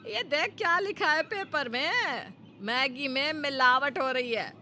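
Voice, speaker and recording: A woman's voice: sits high, lively intonation, slow, bright, forceful tone, a little hiss or hum, full character voice. A woman voicing a suspicious, sarcastic middle-aged mother-in-law in a cartoon story, dramatic and grumbling. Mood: triumphant.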